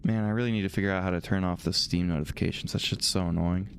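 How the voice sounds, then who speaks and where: A man streaming a video game, thinking out loud in casual, rambling talk, his voice a little hoarse from a throat infection. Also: low-pitched, steady tone, fast, slight background noise. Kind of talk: casual let's-play commentary, reading dialogue aloud.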